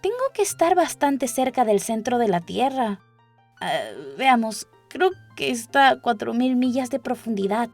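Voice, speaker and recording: A woman's voice: a little high, animated, measured pace, darkish tone, faint music behind, pitched above the performer's own voice. A woman voicing a curious, dreamy young girl who chatters and muses to herself in a storybook. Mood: musing. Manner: musing aloud, questioning, wordy. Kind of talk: storybook character voice.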